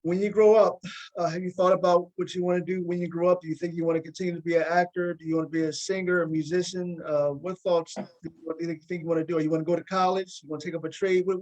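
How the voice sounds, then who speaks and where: A man hosts a casual talk show interview, speaking quickly in a slightly high voice. He is effusive and tends to repeat words and phrases as he goes. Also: a little mellow, dull audio. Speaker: talk show host and playwright.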